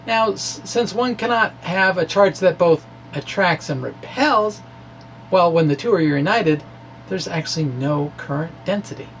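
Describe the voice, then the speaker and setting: A man presenting a physics lecture informally, with animated emphasis and a halting delivery full of stammered restarts. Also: high-pitched, a little hiss or hum. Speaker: amateur physicist presenting his own theory. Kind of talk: informal physics lecture, reading of a blog talk.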